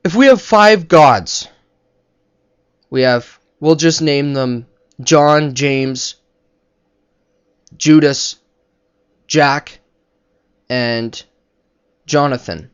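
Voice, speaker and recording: A man with a fairly high voice delivers off-the-cuff religious commentary. He lectures and argues his points, recorded with muffled, lo-fi sound. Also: very slow, long pauses, smooth. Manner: didactic, argumentative. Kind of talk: unscripted spoken commentary on religion.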